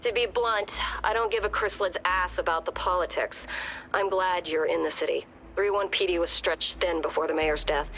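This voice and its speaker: A woman's voice: on the low side, fairly bright tone, poor audio. A woman playing a tough, blunt, no-nonsense police commissioner in a video game. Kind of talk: scripted game dialogue. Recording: faint noise, ambience behind.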